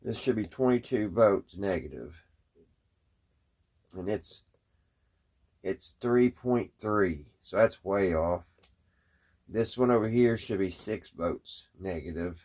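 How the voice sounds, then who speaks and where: A man with a fairly low voice presents a casual, hands-on hobby demonstration. He talks in a folksy, unpolished way, often halting as he thinks out loud while he works.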